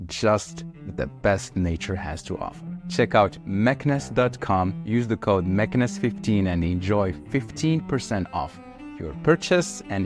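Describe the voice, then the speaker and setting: A man hosting a podcast, reading scripted introductions and promotional copy. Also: on the low side. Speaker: podcast host. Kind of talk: scripted intro and ad read, interview questions.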